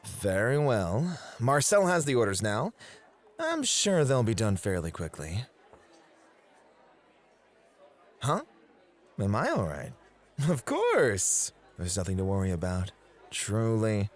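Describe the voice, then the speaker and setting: Animated, devoted male club host acted by a man. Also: lowish voice, a little slow, faint music behind. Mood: affectionate, flustered. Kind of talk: romantic ASMR roleplay.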